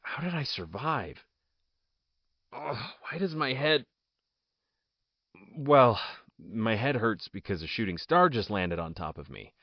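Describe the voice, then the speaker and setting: An actor playing an anguished, imprisoned political outcast with strange powers, speaking in theatrical, solemn soliloquy that can break into frantic outbursts. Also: male voice, medium pitch, sing-song, takes it slowly, pauses often, dry recording, heavily acted. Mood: dazed. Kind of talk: audio drama acting, soliloquy. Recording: dull lo-fi dry recording.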